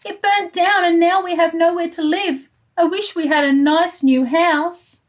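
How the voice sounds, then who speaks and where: A woman voicing a small, sad, homeless mother mouse from a picture book, squeaky and plaintive. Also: steady tone, measured pace. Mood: sad, pleading.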